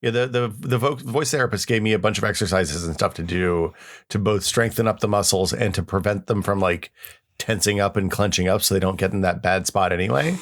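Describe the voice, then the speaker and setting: A middle-aged man with a lowish voice co-hosts a podcast. He rambles along, restarts his sentences often and slips in goofy asides. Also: brisk. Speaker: podcast co-host. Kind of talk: casual chat.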